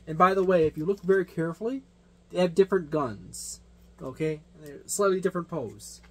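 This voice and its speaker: A man's voice: on the high side, brisk, a little mellow, slight background noise. A man giving an unscripted product overview as a tabletop game reviewer, explanatory and rambling, often rephrasing himself.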